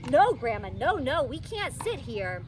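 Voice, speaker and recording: A woman voicing an excitable, stubborn little kindergarten girl in a storybook reading, emphatic and childlike, recorded outdoors in a park. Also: high voice, unhurried, a little hiss or hum. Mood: panicked. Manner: emphatic, childlike pleading and hollering.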